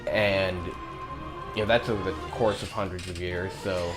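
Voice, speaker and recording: A young man chats and jokes over a video game, relaxed and quick to laugh. His voice sits a little low. Game music plays clearly beneath him. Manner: laid-back, joking, laughs often. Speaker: let's play co-commentator. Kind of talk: casual gaming chat.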